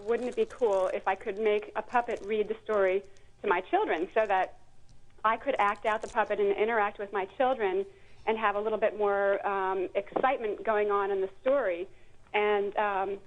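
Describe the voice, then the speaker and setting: A woman phoning in as a guest creator, explaining her product conversationally over the telephone. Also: medium pitch. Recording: muffled telephone line.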